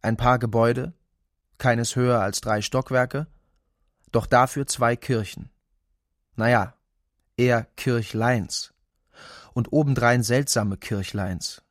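A man reads literary fiction aloud in a mid-range voice. His delivery is measured and carefully articulated. The sound is dry, with no room around it. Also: medium pitch, no room sound. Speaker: reader of literary prose. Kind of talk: literary reading, scripted narration.

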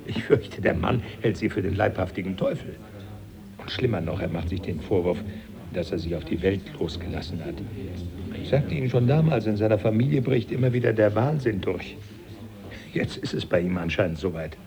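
An actor playing an older man, Darwin's fond, jovial old teacher, who talks warmly and chuckles. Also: fairly low pitch, animated, normal speed, creaky. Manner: warm-hearted, chuckling, confiding. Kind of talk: 1980s German radio play acting.

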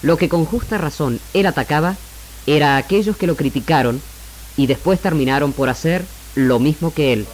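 A man with an Argentine accent delivers a scripted radio monologue in a measured, formal, rhetorical manner as the host. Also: fairly high pitch, poor audio. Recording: 1969 AM radio archive, music bed. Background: music.

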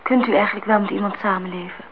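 A woman's voice: medium pitch, steady tone, fast talker, close to an everyday voice. An actress playing an earnest, questioning eighteen-year-old girl in a Dutch radio play, talking in short, probing phrases. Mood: quiet, probing. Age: young adult. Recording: dull, noisy old radio play recording.

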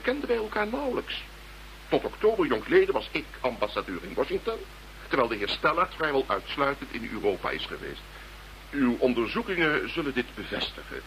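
An actor playing an indignant, stately veteran politician in a radio play, formal, emphatic and pompous. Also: male voice, on the low side, fairly bright tone. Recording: slightly noisy radio drama recording.

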